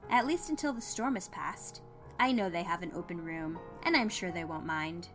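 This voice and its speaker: A woman's voice: fairly low pitch, animated, normal speed, fairly bright tone, soft background music. A woman as a perky small-town postal worker. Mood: sympathetic. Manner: upbeat, encouraging. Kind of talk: dramatized audiobook character dialogue.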